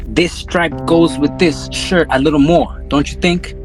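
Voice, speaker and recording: A man's voice: a little high, steady tone, a little slow, faint music behind. A man hosting a podcast in a casual, slangy film discussion, swearing freely. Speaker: podcast host.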